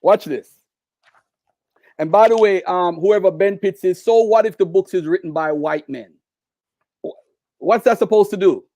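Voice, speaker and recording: A man's voice: high voice, measured pace, long pauses, mellow, dry recording. Insistent Jamaican man, dry close sound. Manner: insistent, repeats requests. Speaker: debate guest. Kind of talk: heated live debate.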